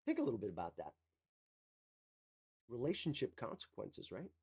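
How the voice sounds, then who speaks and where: A mindset coach giving an emphatic motivational talk, repeating key phrases, with a slight cough. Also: male voice, medium pitch, huge pitch swings, moderate pace, gravelly, darkish tone, slightly rough.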